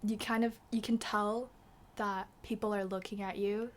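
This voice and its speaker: A woman in her late teens from Macau with a slightly British-tinged accent, chatting as a podcast guest, full of fillers and trailing into soft half-whispers. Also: mid-range voice, little pitch movement, normal speed. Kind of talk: casual chat.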